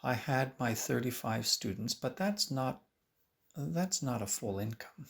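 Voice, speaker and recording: A man talking casually in an interview as a teacher looking back on his work, with an expressive way of telling it. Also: mid-range voice, unhurried, creaky. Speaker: private violin teacher. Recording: roomy, distant mic, faint noise.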